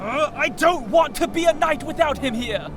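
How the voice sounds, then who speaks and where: A man's voice: very high voice, normal speed, voice pushed up. A man voicing a reckless, hot-headed young knight lieutenant in a dramatic fantasy audiobook. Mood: defiant, upset. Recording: loud music behind the voice. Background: loud music.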